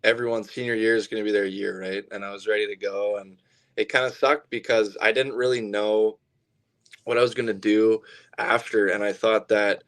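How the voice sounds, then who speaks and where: Low-voiced young man chatting candidly. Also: steady tone, normal speed, a bit bright, rough recording. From southern Alberta, Canada. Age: 20s. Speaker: baseball pitcher being interviewed. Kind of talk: casual interview chat.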